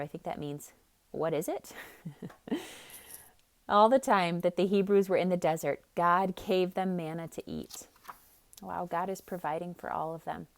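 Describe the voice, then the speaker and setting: A woman storyteller reading a picture book aloud for children in an expressive, dramatic style. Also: on the low side. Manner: expressive, dramatic, with hushed emphasis. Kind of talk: theatrical read-aloud narration with casual asides.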